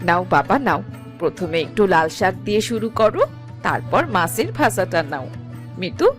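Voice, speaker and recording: A woman's voice: on the low side, very animated, moderate pace. A woman playing a fussing, scolding village family woman in a cartoon story, with music behind. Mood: fussing, caring. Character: fussing, scolding village women of a family, wife and mother-in-law.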